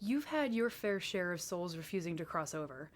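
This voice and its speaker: A woman's voice: on the low side, expressive, moderate pace, lightly acted. An actress playing a protective female grim reaper in an audio drama, scolding with blunt sarcasm.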